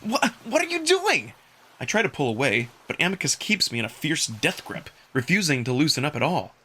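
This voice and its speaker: Male narrator for visual novel, first person: expressive, dramatic, lively intonation, bright forceful tone. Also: mid-range voice, brisk.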